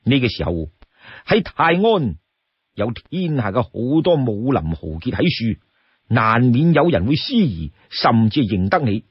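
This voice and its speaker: A man's voice: mid-range voice, very animated, a little slow, breathy edge, no echo, voice pushed down. A man voicing a shrewd, exasperated younger outlaw in a storybook tale, firmly admonishing his companion. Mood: stern. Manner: firm, admonishing, laying down rules. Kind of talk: Cantonese storytelling character voice. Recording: dull, close dry studio sound.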